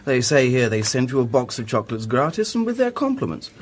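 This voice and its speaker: An actor playing an amiable upper-class English gentleman in a period radio drama, polite and mild-mannered. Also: mid-range voice, very animated, fast talker. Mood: amused, easygoing.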